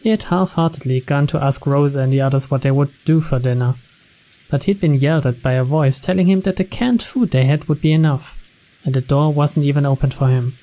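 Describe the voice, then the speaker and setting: A man's voice: on the high side, fairly quick, dark tone, low-quality recording. A man reading a mystery story aloud as narrator, in a scripted narration style.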